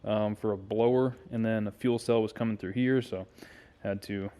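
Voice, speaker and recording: A man's voice: lowish voice, fairly even intonation, moderate pace, mellow. An American man narrating a garage car-build vlog informally, thinking aloud as he works. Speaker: car builder and video host. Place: home garage.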